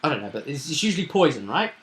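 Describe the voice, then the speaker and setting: A man with a fairly high, bright and forceful voice talks through a tabletop roleplaying session as its game master. He explains in a casual, halting way, thinking aloud as he goes. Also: big pitch swings.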